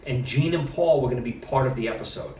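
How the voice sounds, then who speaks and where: A middle-aged man tells stories with plenty of energy, acting out other people's lines as he goes. His voice sits at a middle pitch. He sounds a little far from the mic in a room with noticeable echo. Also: fast, slight background noise. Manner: animated storyteller, quotes others with lively shifts. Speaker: podcast guest. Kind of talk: casual storytelling interview. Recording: roomy, mic at a distance.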